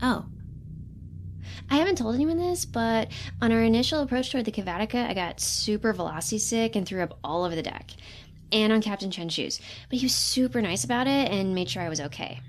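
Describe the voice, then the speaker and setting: Casting: woman playing a timid young female intern, highish voice, sweet, flustered delivery over faint background noise. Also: a little fast, lightly acted. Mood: earnest, confiding. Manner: hesitant, self-correcting, chatty. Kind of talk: audio drama acting.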